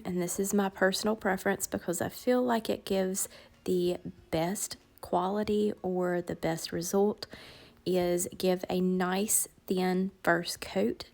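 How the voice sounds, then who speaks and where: Woman, raspy hoarse voice, gravelly, chatty tutorial voiceover. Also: mid-range voice, darkish tone. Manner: chatty, step-by-step explaining. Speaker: crafting channel host.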